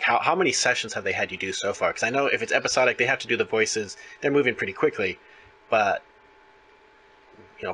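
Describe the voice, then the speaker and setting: Guy interviewer, lowish bright voice, kinda rambly explaining stuff in a casual chat. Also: a little hiss or hum, low-quality recording. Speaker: interviewer, host. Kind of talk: conversational interview.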